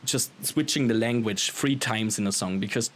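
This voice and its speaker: A man's voice: fairly low pitch, moderate pace. A man with an Austrian accent, a native German speaker, talking casually and enthusiastically as a musician being interviewed. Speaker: musician guest on a podcast. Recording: clearly audible background hiss.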